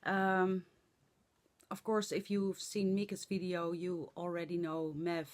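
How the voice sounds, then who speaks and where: Middle-aged Dutch vlogger, hoarse, slow and halting with lots of ums, low-ish voice. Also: female voice, flat delivery, darkish tone. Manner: rambling, halting with many fillers. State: hoarse from laughing and talking. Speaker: flosstube vlogger. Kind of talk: casual chat to camera.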